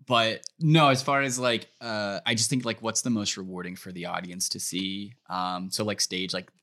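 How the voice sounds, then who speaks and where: A young man chatting casually as a podcast guest about his creative work, rambling along in an animated way. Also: lowish voice, moderate pace. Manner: rambling, animated storytelling with frequent "like". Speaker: podcast guest, sketch comedy writer.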